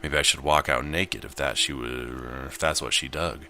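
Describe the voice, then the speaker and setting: A man's voice: very deep voice, fairly even intonation, moderate pace, sharp tone, soft background music. A man reading a visual novel aloud as he plays it, with casual commentary. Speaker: gamer narrating his gameplay.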